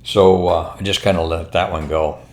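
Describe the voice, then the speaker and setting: An older American man, a former pilot, telling anecdotes in a casual, unhurried, rambling conversational way. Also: sits low, steady tone, darkish tone, slight background noise. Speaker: retired military and airline pilot telling stories. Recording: roomy, echoing room sound.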